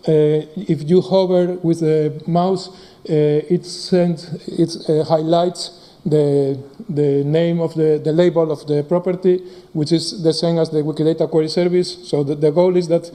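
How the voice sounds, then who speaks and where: Halting man, slightly high, mellow voice. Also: flat delivery. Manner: halting, many fillers. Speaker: conference presenter. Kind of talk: live technical talk.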